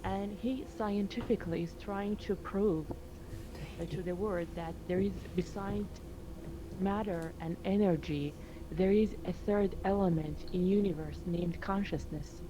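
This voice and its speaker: A woman from Iraq, a native Arabic speaker, explains her ideas earnestly in slightly halting English. Her voice sits at a middle pitch. Clear background noise runs under her speech. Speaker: student, participant.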